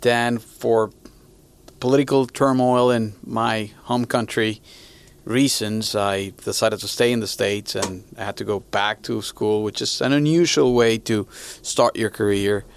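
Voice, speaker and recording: Gravelly low-voiced man from Venezuela. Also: fairly even intonation, a little slow. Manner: hesitant, restarts often, friendly. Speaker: podcast guest. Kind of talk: conversational interview answers.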